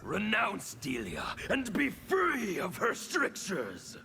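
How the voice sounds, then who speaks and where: Menacing anarchist warlord for a fighting game, super raspy fry, slow theatrical delivery, sharp and forceful. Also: male voice, sits high, huge pitch swings, slight background noise, heavily acted. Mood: fanatical. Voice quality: gravelly. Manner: theatrical, menacing, defiant declarations. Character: fanatical anarchist warlord, menacing revolutionary villain. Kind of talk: fighting game character dialogue.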